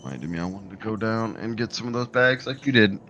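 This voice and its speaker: A man's voice: lowish voice, quick pace, dull audio, a little hiss or hum. A man in his forties chatting and joking with a friend while they play a game together over voice chat. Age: 40s. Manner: joking, lively banter. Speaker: fellow player. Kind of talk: casual chat over voice call while gaming.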